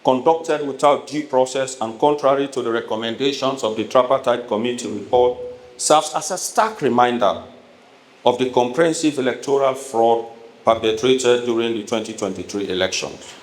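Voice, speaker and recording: Echoey Sierra Leonean man giving a political speech. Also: mid-range voice. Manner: emphatic, deliberate oratory in measured phrases. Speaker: politician addressing a town hall. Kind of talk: formal prepared political speech. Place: echoey hall at a town hall event. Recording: echoey room with noticeable background noise.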